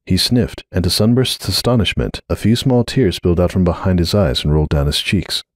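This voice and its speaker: Deep, animated male story narrator. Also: brisk, no echo, studio quality. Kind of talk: dramatic scripted fan fiction narration.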